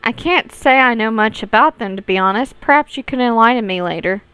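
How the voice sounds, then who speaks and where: A woman's voice: on the high side, lively intonation, fairly quick, sharp tone, smooth, dry recording. A woman voicing a vain young lady con artist in a visual novel, outwardly polite, poised and wry.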